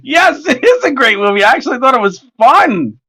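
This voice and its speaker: A man with a hoarse voice, chatting casually and joking as the host of a livestream while he draws, excitable and swearing freely. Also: extremely high pitch, fairly quick. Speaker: artist hosting a livestream. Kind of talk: casual chat while drawing.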